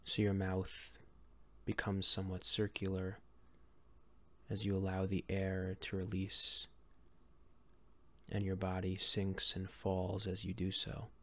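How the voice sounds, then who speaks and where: A man leading a guided meditation in a gentle, calm, unhurried way with long pauses between phrases. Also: low-pitched. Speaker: meditation guide and series host.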